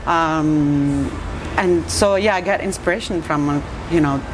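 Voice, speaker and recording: Low-voiced Italian woman, casual. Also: unhurried, noisy background. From Italy. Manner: hesitant, many fillers and restarts. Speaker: bartender guest. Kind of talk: casual interview chat.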